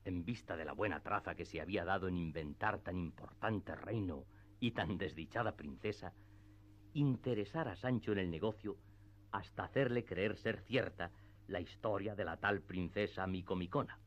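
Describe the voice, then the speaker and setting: A deep-voiced man narrates a classic novel for radio theatre. His delivery is measured and literary, and the recording sounds a bit dull and muffled. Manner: measured storytelling.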